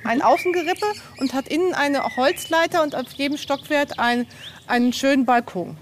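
A woman's voice: high-pitched, moderate pace. A woman in casual conversation as a visitor on an outdoor castle tour. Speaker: podcast guest and visitor. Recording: noisy outdoor location recording.

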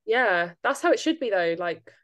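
A woman hosting a casual podcast chat, animated and rambling, with many "like" fillers. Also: mid-range voice, normal speed. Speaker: podcast host.

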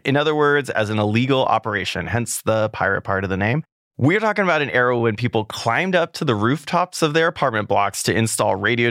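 A man's voice: medium pitch, fairly bright tone, dry recording. A man from California hosting a music and culture podcast in a studio, reading his script in an upbeat conversational way and then chatting with a guest. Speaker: podcast host. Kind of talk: scripted podcast narration and interview.

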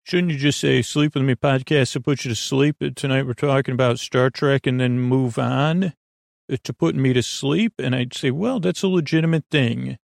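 A man hosting a sleep podcast, rambling in a meandering, halting way, stammering and restarting his sentences, with a creaky voice. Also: mid-range voice, no room sound, clean studio sound. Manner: meandering, halting, stammering with repeated false starts. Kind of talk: rambling bedtime monologue.

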